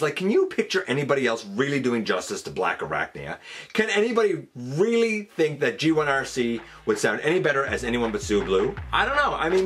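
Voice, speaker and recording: A man's voice: on the high side, a bit bright. A man hosting a countdown in a casual, chatty style, emphatic and enthusiastic, rambling as he thinks aloud and corrects himself. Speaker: host of a fan countdown channel.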